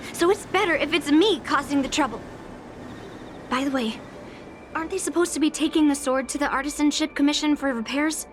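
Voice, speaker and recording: A woman's voice: sits high, close to an everyday voice. A woman voicing a blunt young swordswoman. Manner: determined, blunt. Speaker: game character. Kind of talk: dubbed game cutscene dialogue. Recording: game audio over loud music.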